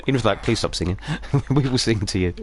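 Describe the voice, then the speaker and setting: A man hosting a live game stream, croaky today and joking about himself in casual chat. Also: on the low side, fairly quick. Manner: jokey, self-mocking, animated. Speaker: streamer host.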